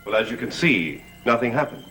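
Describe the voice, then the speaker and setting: An actor playing a stern, brooding master of a gothic manor, speaking in a grave, measured, authoritative way. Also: male voice, mid-range voice, very animated, a bit bright, faint music behind, rough recording. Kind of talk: 1960s gothic soap opera acting. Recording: old TV soundtrack with background score.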